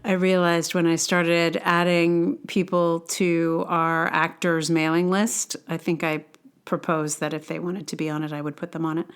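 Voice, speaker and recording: A woman's voice: on the low side, level tone, a little slow, clean tone. A woman hosting her own podcast, sharing personal reflections in a conversational, wry and self-deprecating way. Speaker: podcast host and audio drama producer. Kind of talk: personal essay read aloud, then casual aside.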